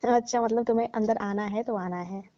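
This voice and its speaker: A woman plays a married auntie in a comic phone skit, teasing and placating a younger lover. Her voice is fairly high and very mellow. The recording is dull and dry, like a saved call. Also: steady tone, brisk, dry recording, natural voice. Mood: coaxing. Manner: coaxing, teasing, playful.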